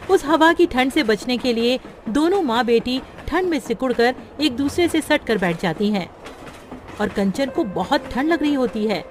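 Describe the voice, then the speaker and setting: A woman narrating a cartoon story for children in a gentle, steady manner. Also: high voice, moderate pace, a little hiss or hum.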